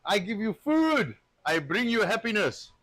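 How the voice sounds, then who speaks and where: A South African man runs a live cooking show, talking casually as he works. He rambles with plenty of filler words and jokes freely. His voice sits a bit higher than most men's. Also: very animated, unhurried, a bit bright. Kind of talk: casual live-stream chat while cooking.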